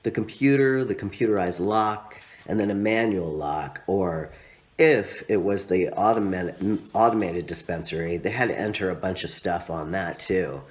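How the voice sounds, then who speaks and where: A man reading his own literary story aloud as narrator, with expressive, dramatic phrasing and drawn-out words. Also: sits low.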